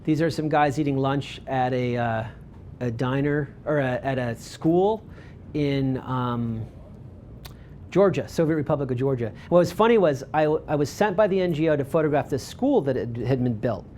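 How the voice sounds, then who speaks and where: A man giving a talk about his photography work, speaking in a relaxed, rambling way with frequent ums and restarts. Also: medium pitch, normal speed, slight background noise. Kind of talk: presentation with audience questions.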